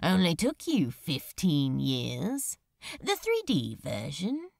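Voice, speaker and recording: A woman's voice: low-pitched, wildly swooping pitch, slow, gravelly, darkish tone, no echo, clean studio sound, voice pushed down, full character voice. A woman voicing a wry, casual male demon, teasing but awkwardly tender, in a dramatised audiobook.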